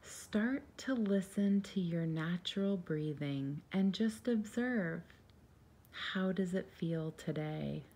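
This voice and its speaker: A woman leading a guided meditation in a soft, soothing, gentle and unhurried voice, breathy at times. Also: on the low side, animated.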